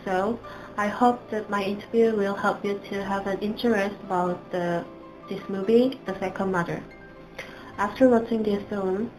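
A woman's voice: medium pitch, low-quality recording. A woman answering interview questions earnestly, a little hesitant. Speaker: student interviewee.

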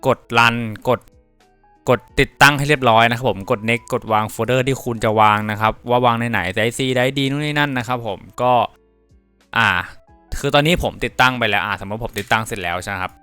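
Clear-voiced young man giving a casual tutorial. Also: mid-range voice, fairly quick, sharp tone, soft background music. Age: young adult. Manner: informal, polite phrasing mixed with crude slang. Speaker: tutorial presenter. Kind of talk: casual software tutorial narration.

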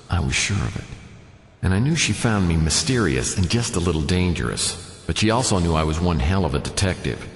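A man's voice: low-pitched, vocal fry, reverberant, in a large hall, heavily acted. An actor playing a wry, hard-boiled private detective, narrating his case dryly in a noir radio drama. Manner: dry, wisecracking.

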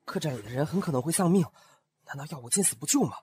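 Brief: male actor as an earnest, kind-hearted young scholar in an audio drama. Voice lively and a bit high. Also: rapid-fire, a little hiss or hum, close to an everyday voice. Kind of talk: amateur audio drama acting.

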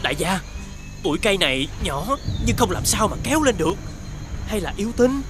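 A man voicing a nervous, fawning servant in an animated fairy tale. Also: high-pitched, a little fast. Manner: fawning, hesitant. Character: obsequious, nervous male servant of a lord. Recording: loud music behind the voice.

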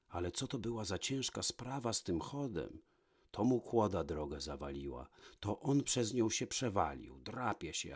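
A man tells a fairy tale in a fairly low, raspy voice. His intonation swings widely as he narrates. The delivery is dramatic, shifting between hushed and emphatic phrasing. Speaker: storyteller. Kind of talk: expressive fairy-tale narration, read from a book.

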